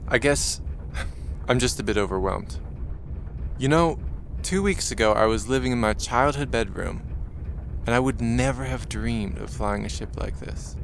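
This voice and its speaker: A man playing an earnest, anxious young space traveler with a halting manner in an audio drama. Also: medium pitch, vocal fry, a bit bright, a little hiss or hum. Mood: unsure, worried. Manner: hesitant, halting, unsure.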